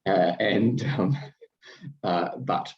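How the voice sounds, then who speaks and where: A very low-voiced Australian man hosting a relaxed chat. He circles around his questions with plenty of fillers and restarts, and laughs easily. The recording sounds a bit dull and lo-fi. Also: extremely slow. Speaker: podcast host. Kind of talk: casual interview chat.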